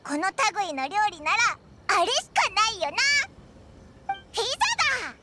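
A woman's voice: extremely high pitch, very animated, normal speed, very noisy. A woman voicing a tiny, childlike fairy companion in an anime game, cheeky and cheerful, with boastful, boyish speech. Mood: excited, proud. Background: background music.

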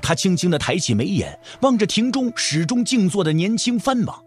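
A man's voice: high voice, big pitch swings, moderate pace, slightly rough, soft background music. A man narrating a martial arts novel for an audio drama in a dramatic storytelling style.

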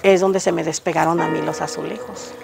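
An older woman with a Mexican Spanish accent, answering a reporter's questions outdoors on the street in front of her home. She speaks in a hesitant, halting way with frequent restarts. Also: lowish voice, fairly even intonation, vocal fry, over loud music. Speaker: interviewed resident. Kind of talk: street interview. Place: outdoors on a street by a house gate.

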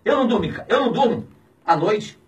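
A man in his fifties with a Brazilian accent, a pastor speaking out in an indignant, preaching tone on the radio, swinging between shouts and low, heavy words. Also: animated, fast talker, recorded from a distance. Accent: Brazilian Portuguese. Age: 50s. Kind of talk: impassioned radio commentary. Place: small radio studio. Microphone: studio mic on a boom, filmed from a distance.

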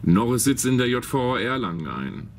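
Man as a lively-pitched villain boss. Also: a little fast, a little hiss or hum. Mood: conspiratorial. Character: scheming villain boss, a minister plotting world domination. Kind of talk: parody detective radio play.

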